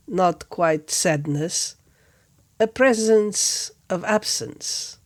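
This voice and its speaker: Woman talking real slow and gentle, calm spiritual reflection, lots of pauses. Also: fairly low pitch. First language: Portuguese. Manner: gentle, measured, with long pauses between phrases. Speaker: spiritual teacher, podcast host. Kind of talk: reflective contemplative talk.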